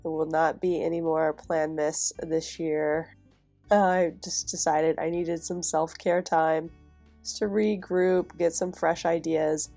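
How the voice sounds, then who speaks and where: Stuffy nasal woman, low and a bit gravelly, chill chatty planner voice-over. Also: takes it slowly, soft background music. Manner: chatty, relaxed, with soft laughs. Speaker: planner vlogger. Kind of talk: casual voice-over while decorating a planner.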